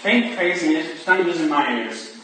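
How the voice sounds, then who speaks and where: A man playing a genteel, eager Victorian gentleman in a staged play reading, polite, earnest and talkative. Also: on the high side, animated, normal speed, natural voice. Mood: light, chatty. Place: live theatre reading in a reverberant room. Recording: distant, very echoey room, clear noise.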